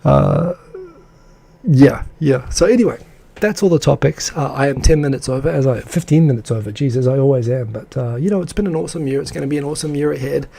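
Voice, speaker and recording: Guy hosting a live stream, gravelly and kinda husky, rambles on excited, answering comments. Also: medium pitch, fast talker. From English-speaking country. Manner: rambling and enthusiastic, lots of fillers; reads out and answers viewer comments. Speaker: live show host. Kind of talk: casual live-stream chat.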